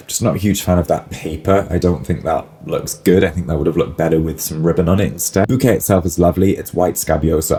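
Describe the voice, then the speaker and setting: Male florist, low and a bit hoarse-edged, snuffly from a cold, relaxed hedging reaction-video delivery. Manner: musing, hedging, lots of "like" and "I think". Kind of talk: casual reaction commentary.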